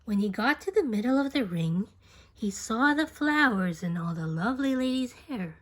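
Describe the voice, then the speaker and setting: A woman reading a children's picture book aloud as an expressive storyteller, unhurried and speaking straight to a young listener. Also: fairly high pitch.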